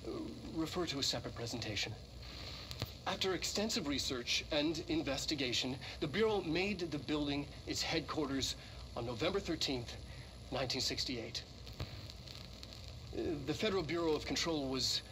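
An actor playing an earnest government scientist in a video game, giving a halting, explanatory recorded briefing. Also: male voice, fairly high pitch, close to an everyday voice. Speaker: research presenter. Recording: noisy archival presentation recording.

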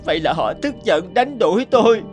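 A man voicing a small, meek young male crow in an animated fable, speaking in a pleading, humble way. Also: high voice, a little fast, soft background music.

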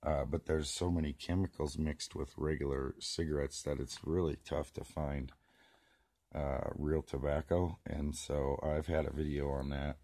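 A hoarse man, about forty, rambling in a casual vlog, filmed on a handheld phone inside a small greenhouse. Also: very deep voice, little pitch movement, a little slow, warm tone, dry recording, studio quality. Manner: rambling, many restarts and fillers. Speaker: vlogger. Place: small plastic greenhouse, indoors. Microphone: phone camera held close.

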